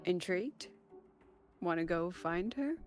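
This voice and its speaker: Poised academy president in a game, measured and teasing, music behind her. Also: fairly low pitch, lively intonation. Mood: teasing curiosity. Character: poised, intrigued woman academy president and lecturer. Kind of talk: video game voice acting.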